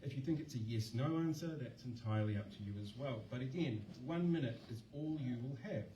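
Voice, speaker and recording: A man's voice: medium pitch, brisk, warm tone. A man casually moderating a candidates' forum in a community hall, chatty and joking as he passes the microphone along. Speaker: host and moderator. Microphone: handheld mic passed around, far from the recorder. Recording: echoey hall, distant mic, background hiss.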